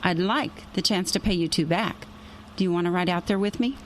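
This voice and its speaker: A woman's voice: fairly low pitch, a little fast, slight background noise, close to an everyday voice. A woman playing a nosy, coaxing investigator in a radio drama, with a wry, persistent manner.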